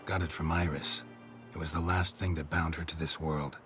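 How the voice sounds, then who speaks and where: An actor playing a stoic, gruff monster-hunting mercenary in a video game, terse and deadpan, with music behind. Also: male voice, extremely low pitch, normal speed. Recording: game audio under clear music.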